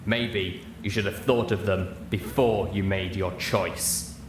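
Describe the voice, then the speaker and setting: A man plays a stern armored ranger pony from a post-apocalyptic storybook. The voice sits fairly low and comes out firm, deliberate and cold, without a trace of regret. There is clear background noise. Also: steady tone.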